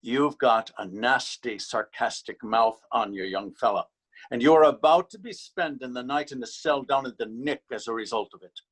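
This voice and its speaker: A man's voice: medium pitch, normal speed, lightly acted. An actor in a readers theater drama playing a stern, weary policeman, admonishing and authoritative. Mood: stern, threatening. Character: stern, weary Irish male beat policeman, bullying. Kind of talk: readers theater stage acting.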